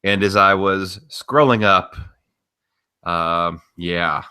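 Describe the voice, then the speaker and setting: A man co-hosts a podcast in a casual, chatty way. His voice is low, bright and very clear. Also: fairly even intonation, slow pace. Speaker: podcast co-host. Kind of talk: casual chat.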